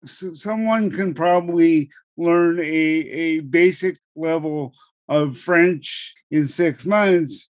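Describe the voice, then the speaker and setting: A middle-aged man on a podcast, explaining legal matters in a measured way and repeating words as he starts each thought. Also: fairly high pitch, dry recording. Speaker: podcast co-host explaining law. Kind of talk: explanatory conversational chat.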